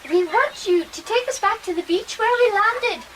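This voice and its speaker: A woman acting an eager, chatty young girl in a period radio drama, childlike, excitable and outspoken. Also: extremely high pitch, moderate pace, background noise.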